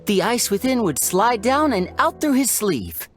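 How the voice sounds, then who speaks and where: A young stage magician from a video game, charming and theatrical. The pitch swings freely as the character teases and shows off, with faint music underneath. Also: female voice, sing-song, a little slow. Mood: breezy, playful. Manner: theatrical, teasing, showy. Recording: music behind the voice.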